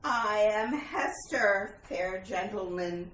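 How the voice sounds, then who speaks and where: A woman playing a ghostly, eerily courteous murderess from olden times in a retro radio thriller, formal and deliberate. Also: medium pitch, a bit bright. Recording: echoey, distant, faint hiss.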